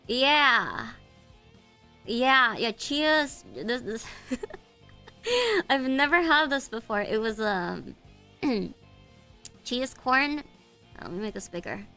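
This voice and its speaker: A woman's voice: on the high side, bright, forceful tone, clear, resonant tone. A woman with a congested, stuffy voice, chatting casually with her stream audience, giggling and stumbling over her words. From United States. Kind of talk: casual live-stream chat.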